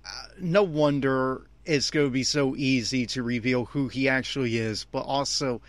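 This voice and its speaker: A man giving opinionated, complaining episode commentary as a host, with emphatic, halting phrasing. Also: medium pitch, steady tone, no echo. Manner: exasperated, complaining. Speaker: fan podcast host.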